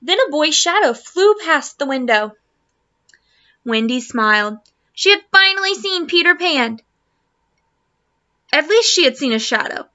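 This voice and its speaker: A woman teacher reading a children's storybook aloud to students, expressively and deliberately. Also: high-pitched, lively intonation, pushed and bright, highs cut off. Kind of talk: children's storybook read-aloud in Spanish and English.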